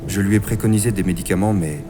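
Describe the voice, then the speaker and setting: A man playing a calm, concerned therapist in an audio thriller, speaking in a measured, reassuring and earnest way. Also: deep voice, loud music behind the voice, natural voice. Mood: grave, worried. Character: calm, concerned male therapist treating a grieving patient. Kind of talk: dramatic audio thriller acting and narration.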